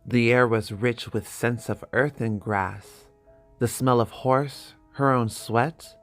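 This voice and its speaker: Guy narrating a fantasy audiobook, measured and dramatic, mid pitch with some fry, music under him. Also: steady tone.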